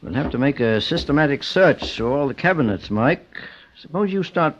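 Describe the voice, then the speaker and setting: A man acts as a composed, authoritative American detective from an old radio serial. His formal, measured lines move with expressive pitch. The recording is dull and vintage. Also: background noise, lightly acted. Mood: businesslike. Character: famous, composed, authoritative male private investigator. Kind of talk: old-time radio drama acting.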